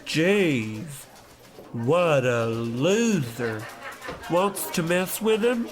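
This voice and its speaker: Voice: medium pitch, animated, very slow, faint music behind. An actor playing a brash, jeering office bully who mocks co-workers in an old-time radio comedy style. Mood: scornful. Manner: jeering, exclamatory. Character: brash, mocking, bullying young postal co-worker.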